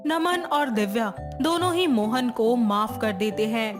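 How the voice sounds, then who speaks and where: High-pitched female storyteller, unhurried pace. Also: over music. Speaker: narrator. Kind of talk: scripted storytelling narration of a moral tale.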